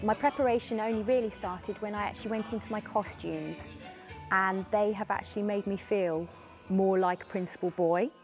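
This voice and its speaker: Mid-pitched woman talking in an interview. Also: normal speed. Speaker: pantomime actress. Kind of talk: casual interview chat. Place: indoor theatre bar room. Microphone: lapel mic. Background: faint music.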